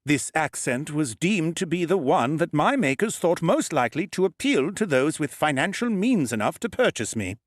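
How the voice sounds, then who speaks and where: A man voicing a courteous old male automaton butler who speaks in a calm, formal way. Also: on the high side, normal speed, hardly any pauses, no room sound, clean studio sound, pitched above the performer's own voice. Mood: calm polite.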